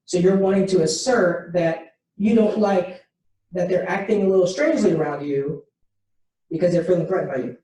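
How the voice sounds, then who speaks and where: A woman's voice: on the low side, moderate pace, mellow, recorded from a distance, a little hiss or hum. Woman teaching in an echoey room. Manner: explains patiently, acting out example dialogue. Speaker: workshop instructor. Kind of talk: interactive teaching session.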